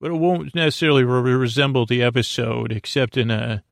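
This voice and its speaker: A man hosting a bedtime podcast in a creaky voice, with a soothing, halting, tangent-filled ramble that stumbles over words. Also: medium pitch, steady tone, no echo, studio quality. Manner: soothing, lulling, whimsical. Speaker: podcast host. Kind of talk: rambling bedtime monologue to help listeners sleep.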